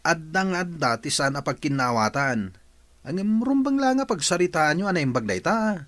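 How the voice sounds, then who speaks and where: A man's voice: a little high, very animated, moderate pace, fairly bright tone, no room sound. An actor with an Ilocano accent playing a friendly, prying male relative in a radio drama. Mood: teasing, advising.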